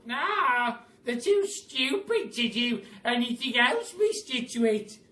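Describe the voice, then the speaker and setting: A man's voice: very high-pitched, big pitch swings, slow pace, bright, forceful tone, pitched above the performer's own voice, heavily acted. A man voicing a nasty, gleeful villainous wife in a children's storybook, scornful and cackling. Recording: roomy, distant mic, faint hiss.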